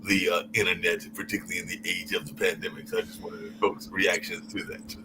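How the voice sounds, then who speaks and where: Very deep-voiced rambling man. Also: flat delivery, a little fast, sharp tone. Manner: rambling, repeats "along those lines". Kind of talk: live call-in chat. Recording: noisy stream audio.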